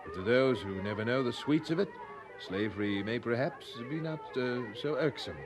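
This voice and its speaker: Actor playing an animated, earnest revivalist preacher. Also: male voice, medium pitch, moderate pace, background noise, natural voice. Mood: persuasive. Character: zealous, earnest English revivalist preacher husband. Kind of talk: radio drama acting.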